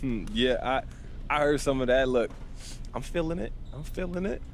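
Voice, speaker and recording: A man's voice: medium pitch, animated, a little slow, slight background noise. A man playing a confident young pro basketball rookie in a video game, talking in a casual, slangy, self-assured way. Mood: upbeat. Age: young adult.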